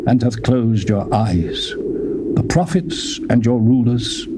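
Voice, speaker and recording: A man's voice: mid-range voice, slow, very noisy. A man reading scripture as a dramatic narrator, solemn and declamatory, in archaic diction, on an old cassette tape. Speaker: Bible narrator.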